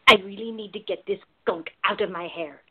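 A woman playing a bold, tough woman in biker disguise with a husky voice in a radio play. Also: mid-range voice, a little fast, phone-quality sound, close to an everyday voice. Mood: relaxed.

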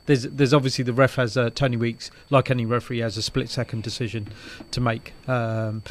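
A man's voice: medium pitch, little pitch movement, brisk, a little hiss or hum. A man co-hosting a casual boxing chat, thoughtful and hesitant, with many fillers.

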